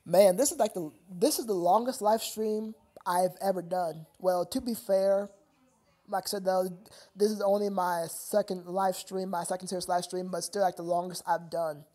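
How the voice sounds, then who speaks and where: Casual livestream host, medium-pitched voice, halting and repetitive delivery, talking directly to chat. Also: fairly even intonation, warm tone. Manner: halting, repetitive, addresses viewers as sir.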